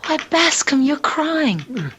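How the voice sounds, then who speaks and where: An actress playing a defiant, streetwise but soft-hearted teenage girl in old-time radio drama, brash and slangy. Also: high voice, big pitch swings, slow pace, a little hiss or hum, full character voice. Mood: curious, tender.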